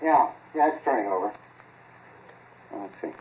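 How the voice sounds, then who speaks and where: Animated lab man, tinny old radio. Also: fairly low pitch, a little slow. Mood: wondering. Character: male laboratory assistant, eager, chatty, slightly defensive. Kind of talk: 1940s radio acting, naturalistic dialogue. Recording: tinny phone-like 1940s radio recording.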